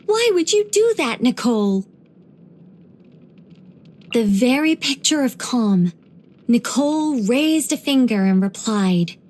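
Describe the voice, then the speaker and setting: A woman voicing an ancient angel in a video game, dignified yet whimsical and chatty, with a measured, gently playful delivery. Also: a little high. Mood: theatrical storytelling. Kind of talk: video game character voice lines.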